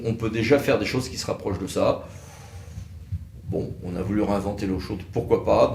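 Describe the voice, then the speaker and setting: A man giving explanatory legal commentary as an expert presenter, halting at times, with wry, sceptical asides. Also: fairly low pitch, gravelly, darkish tone. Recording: roomy echo, faint background noise.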